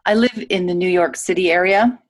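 A woman reading a guest's typed interview answers aloud in a clear, even manner. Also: lowish voice, brisk.

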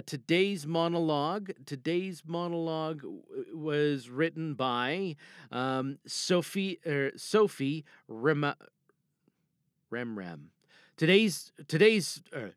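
A man's voice: a little high, bright, forceful tone, dry recording, clean studio sound. A man hosting a podcast in a casual, off-the-cuff way, rambling and often interrupting himself. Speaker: podcast host. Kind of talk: casual off-the-cuff hosted introduction. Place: small dry booth.

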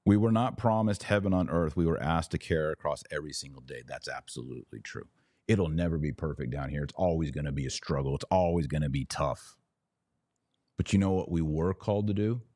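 American guy podcaster, low voice, preachy and emphatic, repeats himself for effect, dry close sound. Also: dark tone, no echo. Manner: emphatic, preachy, with deliberate pauses and repetition. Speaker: podcast host. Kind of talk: religious commentary, speaking off the cuff to listeners.

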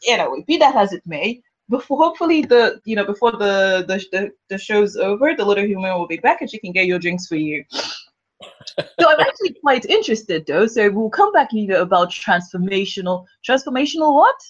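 A woman hosting a casual interview podcast, chatty, rambling and self-deprecating, her voice husky from a cold. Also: mid-range voice, very animated, brisk, dull audio. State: has a cold. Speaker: podcast host.

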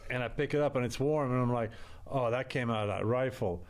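A man's voice: medium pitch, a little fast. A man from Belgium, a podcast guest, telling a rambling story and laughing at his own mishaps. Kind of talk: casual storytelling.

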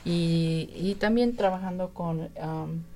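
Middle-aged woman, low and gravelly. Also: slow pace. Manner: relaxed, slips into English. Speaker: university staff. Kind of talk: radio guest conversation.

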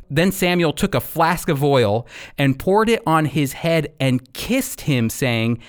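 A man hosting a radio program and teaching the Bible in an emphatic, deliberate way. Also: fairly high pitch.

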